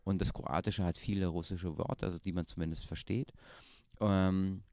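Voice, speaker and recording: A man's voice: deep voice, normal speed, no echo. A man in his thirties, an actor answering interview questions casually with many fillers and restarts, holding a handheld mic in a small indoor room. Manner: relaxed, chatty, frequent fillers and restarts. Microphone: handheld microphone.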